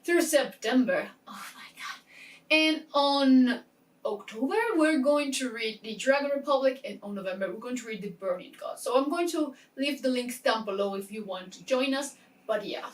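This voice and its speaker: A woman's voice: fairly high pitch, rough recording. A woman chatting casually as a book vlogger, explaining things informally and interrupting herself with little asides. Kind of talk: casual chat explaining a reading game.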